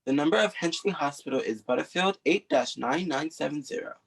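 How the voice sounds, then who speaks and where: An actress playing a formal, impersonal telephone information operator with a husky voice, in a 1940s radio drama style. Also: female voice, low-pitched, lively intonation, a little fast, noisy background.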